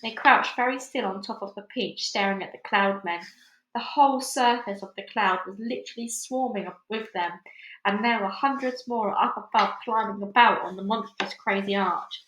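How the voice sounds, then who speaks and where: A woman reading a children's storybook aloud in an expressive, dramatic way. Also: medium pitch, normal speed. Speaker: book reader. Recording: echoey room.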